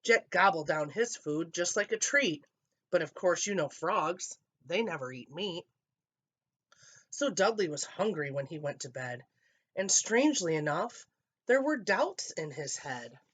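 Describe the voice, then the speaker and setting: Expressive woman reading a children's story. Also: on the low side, measured pace, slightly rough.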